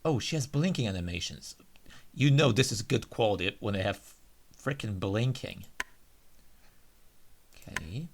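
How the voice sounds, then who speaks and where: A man's voice: on the low side, animated, unhurried, vocal fry, pauses often. A man hosting a let's play, chatting casually and reading the game's text aloud. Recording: music behind the voice. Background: game's guitar music.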